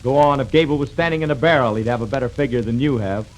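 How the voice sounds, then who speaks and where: A man's voice: mid-range voice, fast talker. An American man trading brash, needling banter as a sidekick on a 1930s live radio comedy. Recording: very noisy, hissy 1930s radio broadcast.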